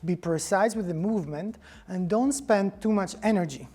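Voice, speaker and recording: Mellow Greek-accented man teaching dance. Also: sits high, normal speed. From Greece. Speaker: choreographer, dance instructor. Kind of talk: scripted tutorial narration.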